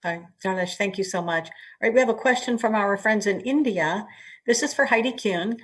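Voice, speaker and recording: A woman moderating an online panel, smoothly reading out audience questions. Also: mid-range voice, brisk. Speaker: emcee and moderator. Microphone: video call. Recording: distant webcam audio.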